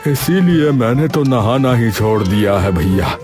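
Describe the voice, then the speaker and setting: A man voicing excitable, teasing village men in comic cartoon dialogue, with folksy, exclamatory banter. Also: medium pitch, a little slow, natural voice. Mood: folksy grumbling. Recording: music behind the voices.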